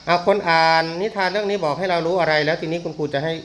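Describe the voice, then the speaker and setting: A man's voice: on the high side, steady tone, rapid-fire, fairly bright tone, poor audio. A man speaking as a teacher to young pupils, instructing them and prompting them with questions. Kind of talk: addressing young pupils after a storytelling. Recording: faint background noise.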